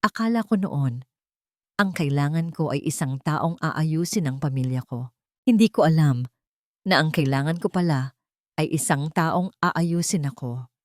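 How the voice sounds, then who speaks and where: A woman voicing a devoted, grateful man softened by love, tender and heartfelt, in a narrated story. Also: low-pitched, expressive, unhurried, no echo, studio quality.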